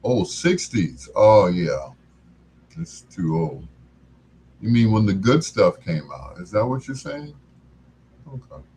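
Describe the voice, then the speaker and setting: An older man hosting a music livestream, chatting casually in a laid-back, folksy, unhurried way. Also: fairly low pitch, very animated, darkish tone, a little hiss or hum. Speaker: livestream music show host.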